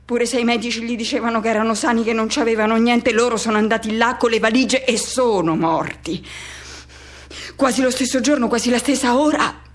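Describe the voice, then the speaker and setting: Woman voicing a haunted lonely man, high-pitched. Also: steady tone, natural voice. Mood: brooding, uneasy. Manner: colloquial, introspective, halting repetitions. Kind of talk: radio drama monologue.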